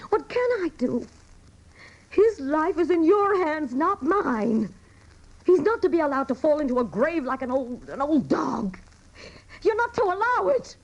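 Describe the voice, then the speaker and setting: An actress playing a weary, fiercely loyal older wife and mother in a radio drama, pleading emphatically in a husky voice. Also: high-pitched, big pitch swings, a little slow, highs cut off, full character voice. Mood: anguished. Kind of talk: dramatic radio play acting.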